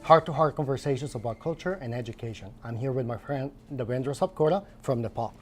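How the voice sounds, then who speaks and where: A man's voice: medium pitch, quick pace, faint music behind. A man hosting a talk show, asking questions conversationally and encouraging his guest. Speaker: talk show host and interviewer. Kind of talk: conversational interviewing.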